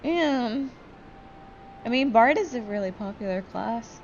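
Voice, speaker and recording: A woman's voice: on the high side, lively intonation, measured pace, muffled recording, slight background noise. A woman hosting a gameplay video, chatting casually, joking and swearing freely. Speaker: gaming video host. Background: game music.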